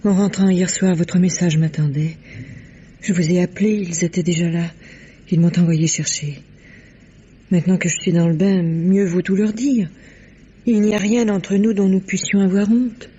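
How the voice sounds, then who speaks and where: Devoted, soft-toned young lady, husky. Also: on the low side, fairly even intonation, moderate pace. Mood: earnest, loyal. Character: devoted, resolute woman friend of the suspect. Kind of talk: old French radio mystery acting. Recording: dull vintage radio recording.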